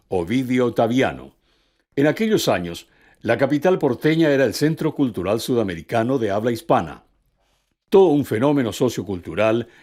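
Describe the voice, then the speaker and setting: A man's voice: medium pitch, a little mellow. A man delivering scripted narration as the narrator of a documentary.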